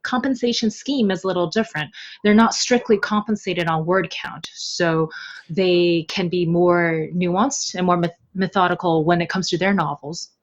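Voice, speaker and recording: A woman guest on a podcast, talking about her translation work in an easygoing, chatty way. Her voice sits at an ordinary pitch, and the audio is somewhat dull and lo-fi. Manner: easygoing, laughs often. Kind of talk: casual interview chat.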